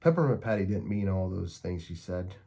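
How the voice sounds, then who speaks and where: A man voicing a sensible, tactful young girl, gently reasoning, in a storybook reading. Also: low-pitched, animated, brisk. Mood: consoling.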